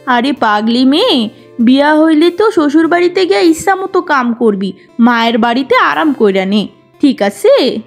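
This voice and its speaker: A woman's voice: high voice, sing-song, moderate pace, faint music behind. A woman voicing a fond, scolding middle-aged village mother with a teasing, rustic way of talking in a cartoon. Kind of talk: cartoon fairy-tale acting.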